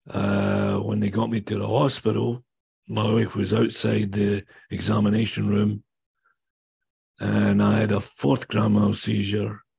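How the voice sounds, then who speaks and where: Raspy, gravelly older man. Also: fairly low pitch, darkish tone. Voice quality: raspy voice from damaged vocal cords. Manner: plainspoken, blunt, mild swearing. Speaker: guest speaker sharing recovery story. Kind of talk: personal testimony talk.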